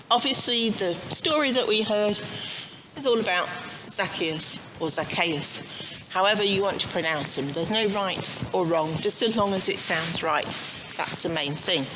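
A middle-aged woman giving an informal children's sermon as a priest, chatty and halting, with frequent asides. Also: mid-range voice, lively intonation, fairly bright tone, rough recording. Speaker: priest leading a children's service. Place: inside a church, standing before the altar. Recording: clearly audible background noise.

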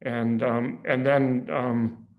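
A middle-aged man talks slowly and unhurriedly on a video call, in a voice of ordinary middle pitch. He pauses often, fills gaps with "um" and "uh" and restarts his sentences. He speaks as an academic panelist from a small room at home. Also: little pitch movement, phone-quality sound. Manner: slow, halting, many fillers and restarts.

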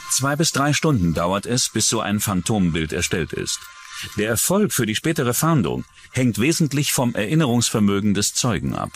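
A man's voice: lowish voice, sing-song, moderate pace, slight background noise. A man reading scripted narration for a true-crime documentary. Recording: music under the voice. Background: background music.

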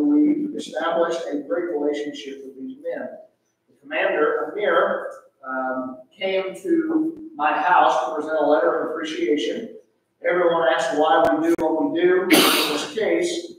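Halting man reading in an echoey sanctuary. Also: a little high, distant mic. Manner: halting, many filler uhs and restarts. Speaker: pastor reading a missionary letter. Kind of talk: reading a letter aloud in church. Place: large church sanctuary. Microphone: pulpit mic, filmed from far back.

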